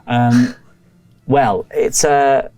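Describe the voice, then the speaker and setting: A man's voice: mid-range voice, fairly even intonation, extremely slow, mellow, no room sound, faint music behind. A man voicing a polite, evasive male village librarian who rambles with many fillers and restarts.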